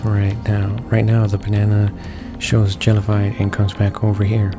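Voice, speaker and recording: A low-voiced man reads a visual novel aloud in a very monotone way. He halts and stumbles over words while game music plays behind him. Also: normal speed. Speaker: gamer reading along.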